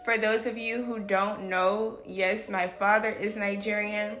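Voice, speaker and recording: A woman's voice: medium pitch, little pitch movement, unhurried. A woman, a cooking vlogger narrating her video in a chatty, upbeat way with frequent fillers and laughs. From United States. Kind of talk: casual vlog narration. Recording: roomy echo with background music.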